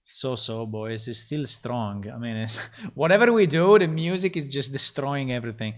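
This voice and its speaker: A man, a game developer, talking casually on a live stream as he works, thinking aloud and halting often with fillers. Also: medium pitch, expressive. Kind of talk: casual live-stream talk while working.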